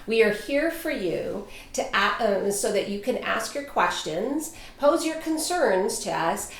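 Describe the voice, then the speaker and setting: A woman hosting a community talk show, opening it and introducing her panel in a polished, inviting way. Her voice has a middle pitch, and the room gives it a noticeable echo. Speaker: host and life coach. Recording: echoey room.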